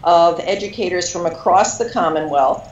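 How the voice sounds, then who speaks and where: A woman with a low voice speaks in a measured, slightly halting way as an education program manager. She sounds as though she is in an echoey room on a dull, muffled line. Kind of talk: interview answers.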